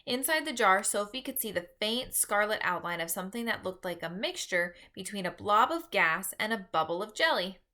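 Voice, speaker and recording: A woman narrating a children's book aloud in an expressive storytelling style. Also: mid-range voice, moderate pace, hardly any pauses. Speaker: storybook reader.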